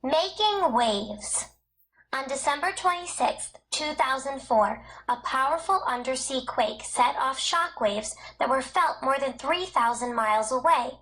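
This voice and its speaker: A woman narrating a school textbook in a measured, scripted, clearly enunciated read-aloud. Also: high voice, lively intonation, fairly bright tone, low-quality recording.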